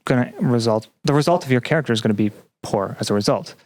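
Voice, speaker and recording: A man answering as a podcast guest in a conversational, explanatory way, often pausing to search for words. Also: mid-range voice, a little mellow. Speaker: podcast guest, student game developer.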